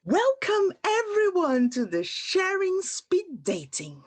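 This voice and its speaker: A woman's voice: very high-pitched, wildly swooping pitch, takes it slowly, full character voice. A woman playing an upbeat, welcoming event coordinator in an audio drama. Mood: bubbly, welcoming. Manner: gushing, welcoming. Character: upbeat woman event coordinator hosting online speed dating.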